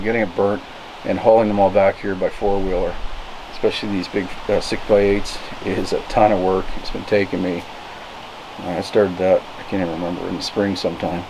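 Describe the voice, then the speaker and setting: A man in his fifties explaining casually to camera, unhurried and thinking aloud as he goes. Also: low-pitched, darkish tone, low-quality recording. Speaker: vlogger, cabin builder. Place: outdoors in a forest, open timber frame. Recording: clear background noise outdoors.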